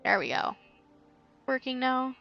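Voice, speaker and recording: A woman chatting casually and haltingly as she hosts a video game livestream. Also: sits high, vocal fry, highs cut off, soft background music.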